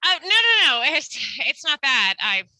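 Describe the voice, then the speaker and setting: A woman's voice: sits high, very animated, measured pace, sharp tone, full character voice. A woman playing a polite, dryly teasing, slightly hesitant coworker in a comedic play reading. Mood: awkward, teasing.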